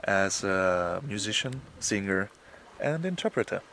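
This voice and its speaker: A man from Austria, a spiritual coach and musician, answering interview questions calmly with hesitant fillers. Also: lowish voice, unhurried, slight background noise. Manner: calm, plain-spoken, with hesitant fillers.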